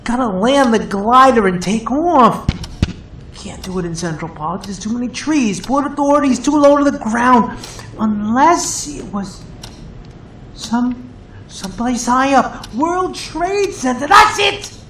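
A woman playing a quick-witted, irritable, tough pilot, thinking aloud with salty language in an action audio drama. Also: on the high side, animated, fairly bright tone. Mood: excited. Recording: echoey room, faint noise.

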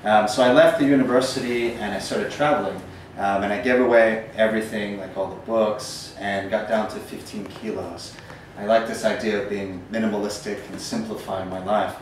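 A man's voice: on the low side, steady tone, moderate pace. Man with an American accent in an echoey room. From Northern Ireland. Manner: rambling, frequent ums, self-deprecating humor. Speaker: poet giving a talk. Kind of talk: casual storytelling lecture. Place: small gallery room, indoors. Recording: echoey room from far off, faint noise.

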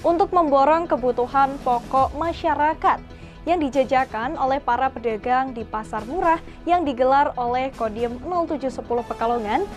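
High-pitched woman reading television news. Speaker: news presenter. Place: TV studio.

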